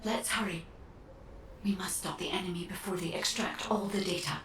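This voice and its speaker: A woman's voice: on the low side, normal speed, fairly bright tone, roomy sound, distant mic, slight background noise, close to an everyday voice. An actress playing a composed female guide in a video game, speaking formally and precisely with analytical focus. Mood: serious, urgent. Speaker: actor voicing a game character. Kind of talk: scripted game dialogue.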